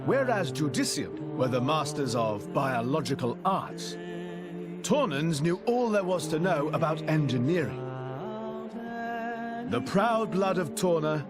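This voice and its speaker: Proud young local guide, relaxed temper, higher male voice, animated delivery, game cutscene, music behind. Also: measured pace. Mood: proud, explaining. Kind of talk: video game voice acting.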